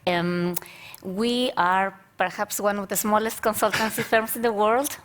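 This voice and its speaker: A woman's voice: medium pitch, steady tone, a little slow, fairly bright tone. A woman in her thirties from Mexico, speaking articulately as a panelist and diversity consultant, with easy laughs. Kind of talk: panel discussion.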